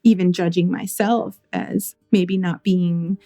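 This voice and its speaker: A young adult woman from the United States, a therapist speaking as a podcast guest in a thoughtful, measured way with some restarts. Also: lowish voice, steady tone, dark tone, faint music behind. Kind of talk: reflective interview conversation.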